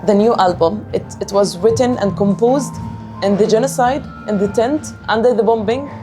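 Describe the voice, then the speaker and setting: Earnest Palestinian woman with halting speech. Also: medium pitch, music in the background. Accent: Palestinian Arabic. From Gaza, Palestine. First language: Arabic. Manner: halting, earnest, many repeated words and restarts. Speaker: singer of a music band. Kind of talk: interview answers.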